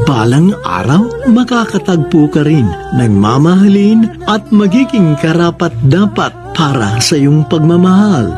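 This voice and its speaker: High-pitched Filipino male radio host. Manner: earnest, counselling. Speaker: radio drama host and advice giver. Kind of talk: scripted advice and credits narration. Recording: music under the voice.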